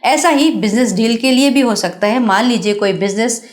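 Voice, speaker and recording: A woman's voice: a little high, normal speed. An Indian woman presenter giving a scripted explanatory narration, instructive and speaking directly to the viewer.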